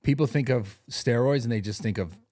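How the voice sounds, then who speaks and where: A man's voice: mid-range voice, fairly quick, a little mellow. A man in his thirties hosting a podcast, laid-back, with brief agreeing replies. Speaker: podcast host. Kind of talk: casual chat.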